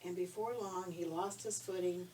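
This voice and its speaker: A woman's voice: fairly low pitch, fairly even intonation, normal speed, a little mellow. Folksy older woman telling stories, off-mic. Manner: folksy, conversational. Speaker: storyteller for children. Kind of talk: informal storytelling. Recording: distant microphone, faint background noise.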